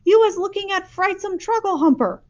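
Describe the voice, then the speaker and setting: A woman's voice: very high voice, expressive, moderate pace, pitched above the performer's own voice. A woman voicing a big, friendly old male giant who mangles his grammar and invents words, in a dull lo-fi recording. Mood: explaining.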